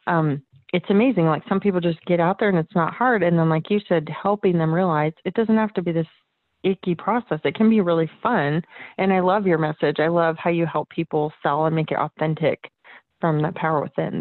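A woman's voice: lowish voice, steady tone, brisk, no echo, phone-quality sound. A woman hosting a casual interview in an upbeat, affirming, informal manner. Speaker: podcast host, business coach.

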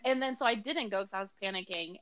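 A woman's voice: mid-range voice, fast, muffled recording. A woman in her twenties from Mexico, co-hosting a casual podcast and telling a story with laughs and acted-out voices. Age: 20s. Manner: animated, giggly, acts out voices in stories. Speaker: podcast co-host.

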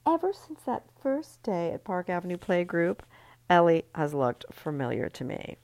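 A woman's voice: on the low side, sing-song, moderate pace, darkish tone, pitched above the performer's own voice. A woman reading an audiobook, voicing a smug rich young mother who gloats with theatrical flair. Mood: gloating reveal.

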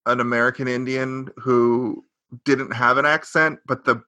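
Bright-voiced man rambling through a recap. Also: medium pitch, fairly even intonation, unhurried, no room sound. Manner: rambling, self-correcting, sarcastic. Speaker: podcast co-host. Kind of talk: casual chat recapping a sitcom.

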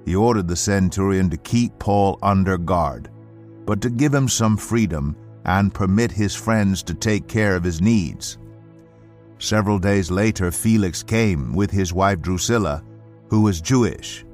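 A man narrating scripture as an audiobook, with a measured, solemn and emphatic dramatic delivery. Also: deep voice, a little mellow, soft background music. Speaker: Bible narrator.

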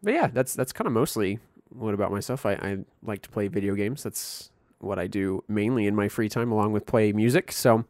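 A man in his twenties from Missouri, co-hosting a podcast and telling stories in a relaxed, chatty way with frequent chuckles. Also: on the low side, fairly quick, dry recording, studio quality. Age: 20s. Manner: laid-back storytelling with frequent chuckles. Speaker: podcast co-host. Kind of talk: casual chat.

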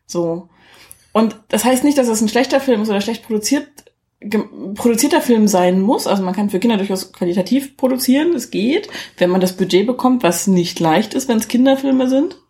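A woman's voice: on the high side, expressive, a little fast, dark tone. A woman with a German accent co-hosting a podcast, explaining her views on a film in colloquial, conversational speech. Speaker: podcast co-host. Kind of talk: casual film review chat.

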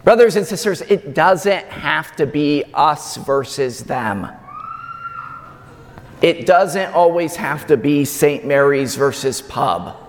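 Man, homily in a church, slightly raspy, slow deliberate delivery, long echo. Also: a little high, lively intonation, slight background noise. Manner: slow, measured preaching with emphatic pauses. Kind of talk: sermon. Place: large church.

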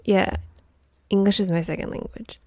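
A young woman co-hosting a casual chat podcast, whose first language is Chinese, telling stories in a chatty, animated way. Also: mid-range voice, moderate pace, no room sound. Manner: chatty, animated storytelling with laughs and restarts. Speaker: podcast co-host.

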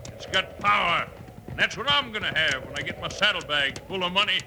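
An actor playing a ruthless, greedy outlaw leader who talks in a bossy, sneering way in a radio western. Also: male voice, sits high, lively intonation, a little fast, bright, forceful tone, full character voice. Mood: menacing. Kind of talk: 1950s radio acting. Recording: noisy vintage radio recording.

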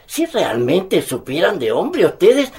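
A man's voice: sits high, huge pitch swings, slow, a little mellow, heavily acted. A man playing a jolly, stubborn old Chilean grandfather with a big appetite in a radio drama, hesitating and repeating his words. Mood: playful protest. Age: older.

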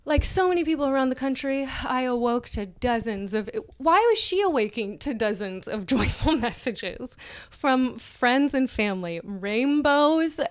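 A woman's voice: high voice, expressive, unhurried, clean tone. A woman podcast co-host giving a mock-dramatic reading, breaking into mocking, laughing asides.

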